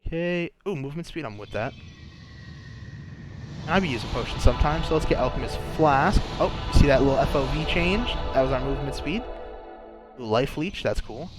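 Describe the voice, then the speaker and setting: A man's voice: mid-range voice, lively intonation, a little fast, music in the background. A young man with a sore throat, chatting casually and thinking aloud while playing a game, often restarting his sentences. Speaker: gaming channel host. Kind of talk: casual gameplay commentary.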